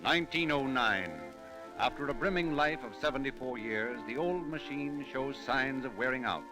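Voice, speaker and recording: An actor as a solemn narrator, reading scripted storytelling for an old-time radio drama. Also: male voice, medium pitch, moderate pace, loud music behind the voice, close to an everyday voice, rough recording. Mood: reverent.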